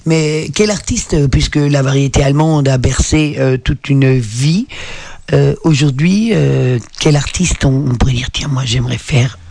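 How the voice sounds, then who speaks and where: A man's voice: mid-range voice, measured pace, vocal fry, darkish tone, dry recording. A man hosting a radio interview, asking long, rambling questions full of fillers. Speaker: radio host.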